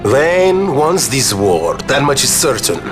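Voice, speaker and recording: An eloquent, formal nobleman in a fantasy game drama. His delivery is theatrical and articulate, with a widely swinging pitch. Music plays prominently behind him. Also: big pitch swings, slow. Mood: grave, wry. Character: eloquent adult nobleman giving a grave war briefing. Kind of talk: dramatic video game voice acting.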